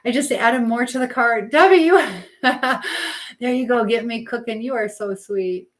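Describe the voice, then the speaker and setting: A woman's voice: fairly high pitch, a little slow. Chatty American woman hosting a casual livestream. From United States. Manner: chatty, reading out and answering viewer comments. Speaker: livestream host. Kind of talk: casual live chat with viewers while cooking. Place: home kitchen.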